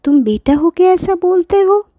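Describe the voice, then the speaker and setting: A woman's voice: lively intonation, unhurried, warm tone, no echo, muffled recording. A woman voicing an elderly grandmother who pleads tearfully with her family. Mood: pleading. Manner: high, imploring, weepy.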